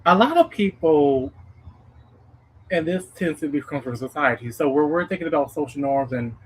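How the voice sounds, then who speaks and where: A man's voice: fairly high pitch, animated, normal speed, slightly rough, poor audio. A man in his thirties hosting a casual livestream talk, reflective and earnest, often checking in with the audience. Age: 30s. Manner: reflective, earnest, rhetorical "okay?" check-ins. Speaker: livestream host.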